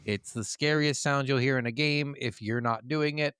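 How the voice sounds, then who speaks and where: A young man chatting casually as a podcast co-host, emphatic, opinionated and quick to swear. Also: medium pitch, a bit bright, smooth, no echo, clean studio sound. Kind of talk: casual gaming podcast chat.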